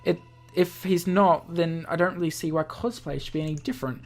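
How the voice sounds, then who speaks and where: A woman reading a visual novel aloud as a let's-play host, in an expressive narrating style. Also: lowish voice, fairly quick, soft background music.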